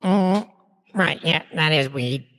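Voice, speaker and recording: A man's voice: fairly high pitch, slow, pushed and bright, heavily acted. Man as a stilted echoing courtroom computer. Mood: literal, oblivious. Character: pompous, literal-minded male courtroom computer. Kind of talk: comedic radio drama acting.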